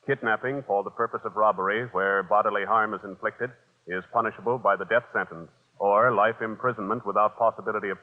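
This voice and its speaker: A man with an American accent announcing formally in 1950s radio style, measured and official. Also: fairly low pitch. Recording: muffled vintage radio, long reverb.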